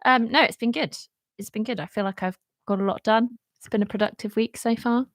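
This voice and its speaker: A woman's voice: fairly even intonation, a little fast, dry recording, clean studio sound. A woman in her thirties, from Britain, co-hosting a live podcast in casual, chatty talk and laughing often. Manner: chatty, laughs often, slips into a high pet voice. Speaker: podcast co-host. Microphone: podcast microphone.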